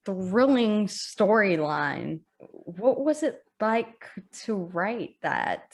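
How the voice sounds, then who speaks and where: A woman's voice: medium pitch, animated, drawn-out words. A woman hosting an author interview, upbeat and stumbling over repeated words. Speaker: interview host.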